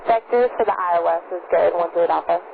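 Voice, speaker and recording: A woman's voice: fairly low pitch, fast, gravelly. A woman speaking as a pilot, replying to air traffic control over a noisy aviation radio. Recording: lo-fi noisy cockpit radio transmission.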